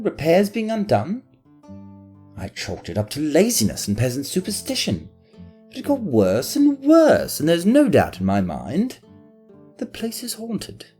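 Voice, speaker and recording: A man's voice: sits high, warm tone, breathy edge, exaggerated voice. An actor playing a spoiled, arrogant nobleman who talks in a haughty, self-pitying way. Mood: aggrieved. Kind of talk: fan audio drama acting.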